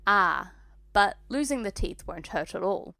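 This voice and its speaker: A woman voicing an aloof, menacing aristocratic teenage schoolboy wizard in a story reading, cold, sharp and measured. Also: fairly low pitch, fairly even intonation, no echo. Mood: edged, mocking.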